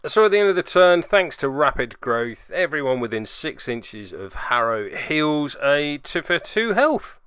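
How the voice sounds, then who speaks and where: Male host for tabletop game recap: lively, bright delivery, play-by-play narration with dry sarcasm. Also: a little high, a little slow, few pauses, clean tone, no echo. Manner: dryly sarcastic asides.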